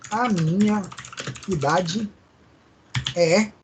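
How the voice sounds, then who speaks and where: A man's voice: high-pitched, drawn-out words, background noise. A male teacher with a Maranhão accent, explaining code step by step in a live online class and often checking in with his students. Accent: Brazilian Portuguese, Maranhão. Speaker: programming teacher.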